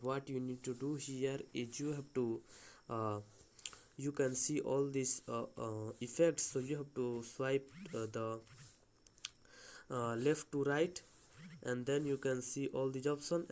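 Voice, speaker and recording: A man giving an unscripted step-by-step phone app tutorial as host, speaking in a halting, hesitant way with repeated phrasing. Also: medium pitch, fairly even intonation, darkish tone.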